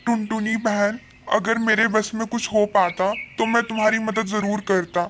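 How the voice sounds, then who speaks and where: A man's voice: high voice, little pitch movement, normal speed, a bit bright, a little hiss or hum. A man playing a polite, chatty male bird neighbour in a cartoon story, with a deferential, explanatory way of talking. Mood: apologetic. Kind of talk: Hindi cartoon story dialogue.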